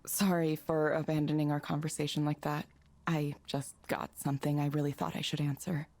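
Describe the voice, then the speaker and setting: A woman's voice: low-pitched, level tone, fairly quick, a little mellow, no echo. A woman playing a modest, guarded woman in a visual novel, with natural, understated delivery. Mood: guarded, apologetic. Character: reserved, modest, guarded woman, former tech researcher. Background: game music.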